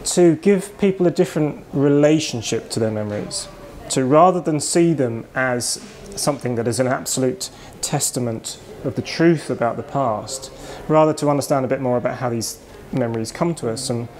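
British man, 40s, author interviewee, considered explanations, soft room noise. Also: medium pitch, normal speed, few pauses. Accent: British English. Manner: thoughtful, explanatory, with restarts. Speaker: author and psychologist. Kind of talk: interview answers. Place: kitchen shop, indoors.

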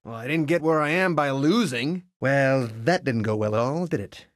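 An actor playing a cocky, friendly young resistance pilot in a video game, breezy and wisecracking. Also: male voice, medium pitch, lively intonation, normal speed, no echo, studio quality, natural voice. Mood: pleased, cocky. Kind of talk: video game character voice clips.

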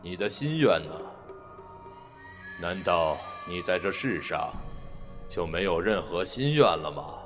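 A man playing a solemn, commanding king of the underworld in an audio drama, speaking gravely and ominously. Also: deep voice, lively intonation, unhurried, pauses often, a bit bright. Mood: grave, admonishing. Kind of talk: Chinese audio drama acting. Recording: long cavernous reverb over music.

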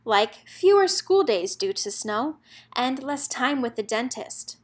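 A woman's voice: on the high side, very animated, takes it slowly. A woman narrator reading a children's picture book aloud, expressively and in a rhythmic lilt of rhyming verse.

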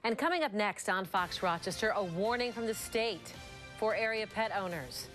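A woman's voice: medium pitch, lively intonation, moderate pace, a bit bright, soft background music. A woman with an American accent reading a scripted news introduction as an anchor.

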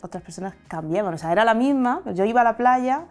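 A woman in her thirties from Madrid with a Castilian Spanish accent, speaking candidly and reflectively in an interview, seated on a sofa in a bright studio with a lapel mic. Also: on the high side, animated, fast talker, faint music behind. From Madrid, Spain. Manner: reflective, open, gesturing with her hands. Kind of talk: candid personal testimony.

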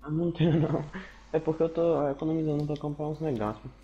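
A man's voice: on the high side, fairly even intonation, racing, muffled recording, a little hiss or hum. A man from Minas Gerais with a Mineiro accent, giving short laid-back replies in game voice chat. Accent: Mineiro Brazilian Portuguese. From Minas Gerais, Brazil. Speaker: random teammate on voice chat.

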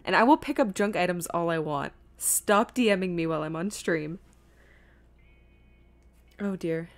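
A woman's voice: fairly low pitch, measured pace. A woman giving playful, chatty, casual commentary as she streams a game.

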